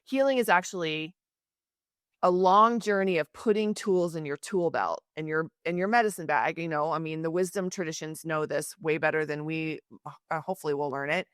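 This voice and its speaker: A woman's voice: deep voice, moderate pace, a bit bright, clear, resonant tone, no echo. Earnest woman podcast host, dry sound. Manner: earnest, emphatic, reflective. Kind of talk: conversational interview.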